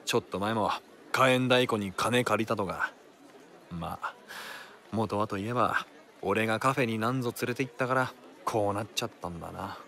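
A man playing a rough, easygoing monk adventurer in a radio drama, talking bluntly and casually. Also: on the low side, moderate pace, pushed and bright, some chatter behind, a little hiss or hum. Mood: concerned. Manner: blunt, casual old Tokyo tough talk.

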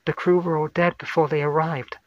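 A man's voice: a little high, level tone, a little fast, no echo, highs cut off, pitched above the performer's own voice, close to an everyday voice. A man voicing an anxious woman science officer, urgent and explanatory, in a sci-fi horror audio story. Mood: grim, explaining.

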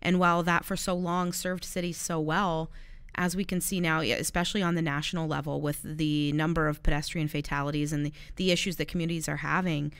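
A woman's voice: low voice, level tone, brisk, smooth, dry recording. A young woman who coordinates city communications, talking earnestly and conversationally as co-host of a municipal discussion show. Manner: earnest, articulate, conversational with occasional restarts. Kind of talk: casual policy discussion.

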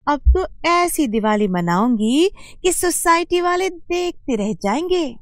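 A woman's voice: high-pitched, sing-song, slow pace, no room sound, studio quality, exaggerated voice. A woman playing a boastful, demanding, newly rich housewife in a cartoon, showy and emphatic. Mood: gloating.

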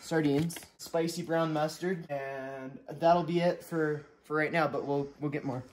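A man's voice: a little high, steady tone, measured pace, slight background noise. A teenage boy hosting a mock cooking show at home, joking sarcastically about gross ingredients. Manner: sarcastic, jokey, playfully enthusiastic about gross food. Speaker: co-host cooking. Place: home kitchen, indoors. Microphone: camera across the counter. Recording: echoey kitchen, clattering pots.

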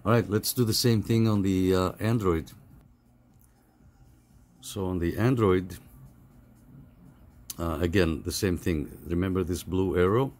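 A middle-aged man giving a casual, halting, step-by-step phone app tutorial over some background noise. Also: sits low, a little mellow. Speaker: tutorial host.

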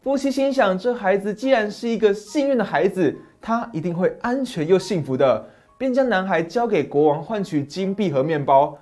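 A middle-aged man narrating a fairy tale as a channel host, with a dramatic, animated manner, on a lapel mic in a small dim study. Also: high-pitched, normal speed. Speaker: storyteller host.

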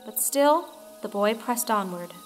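A woman's voice: on the high side, lively intonation, measured pace. A woman narrating a storybook audio play in a dramatic style. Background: faint music.

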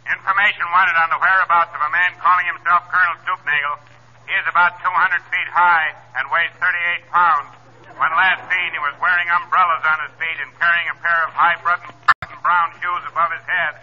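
A man hosting a 1930s radio comedy sketch, telling a silly story in a deadpan way. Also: fairly high pitch, brisk. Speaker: comedy host. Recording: dull old radio broadcast with faint hiss and long reverb.

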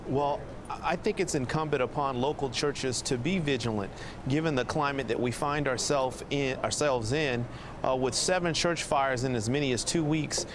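A man, a state representative, speaking earnestly and deliberately in a live interview from outdoors. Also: medium pitch, noisy background.

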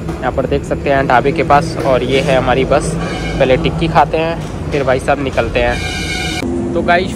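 A man's voice: on the high side, low-quality recording. A young man chatting casually to viewers as a travel vlogger, filming himself with a phone at arm's length outdoors at night. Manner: chatty, addresses viewers as brother and guys. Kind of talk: casual travel vlog narration. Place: outdoors at night on a roadside and in a bus. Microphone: phone held at arm's length. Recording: loud street traffic noise. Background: loud traffic and vehicle noise.

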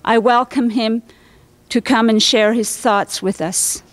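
A woman in her thirties hosting a conference, speaking formally and deliberately into a podium microphone in a large hall. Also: on the high side, fairly even intonation, background noise. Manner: deliberate, ceremonial. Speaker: conference host. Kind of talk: formal welcome speech.

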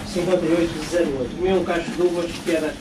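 A man's voice: a little high, steady tone, fast, a loud crowd. An older man, chatting and reminiscing over coffee at a café counter. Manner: reminiscing, chatty, trailing repetitions. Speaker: café customer. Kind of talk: casual chat. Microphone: body camera at the counter. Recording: echoey café, distant, loud background noise. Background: café clatter and traffic.

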